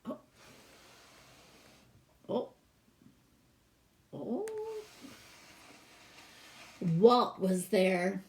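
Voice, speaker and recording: A woman reading a children's picture book aloud like a teacher, animated and stopping for asides and questions to young listeners. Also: medium pitch. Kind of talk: expressive storybook read-aloud with asides to children.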